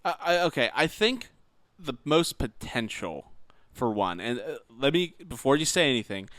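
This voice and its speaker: Lively, clear-voiced male podcast host. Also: medium pitch, moderate pace, no echo, clean studio sound. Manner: opinionated, blunt, informal. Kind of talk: casual gaming podcast chat.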